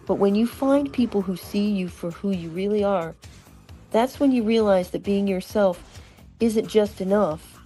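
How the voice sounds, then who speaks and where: A woman's voice: mid-range voice, unhurried, mellow, faint music behind, natural voice. A woman reading a sincere, thoughtful teenage character in a live script reading. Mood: earnest, reflective.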